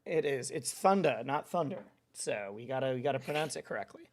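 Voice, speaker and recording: A man's voice: medium pitch, lively intonation, a little fast. A young man hosting a live stream, chatting casually and laughing often as he reads out follows. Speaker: streamer host.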